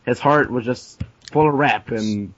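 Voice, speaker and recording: A man with a mid-pitched voice riffs casually as a co-host. His interjections are crude and sarcastic. The sound is dull and low in fidelity. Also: a little slow, fairly bright tone. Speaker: co-host commentator. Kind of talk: casual joking commentary. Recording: dull lo-fi recording.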